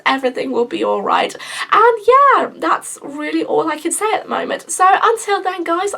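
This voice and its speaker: A young woman talks to her viewers in a casual vlog, earnest and a little repetitive, restarting her sentences. Her voice sits high and sounds bright and sharp, even though her throat is sore and she is losing her voice. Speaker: YouTuber. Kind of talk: casual vlog talk to camera.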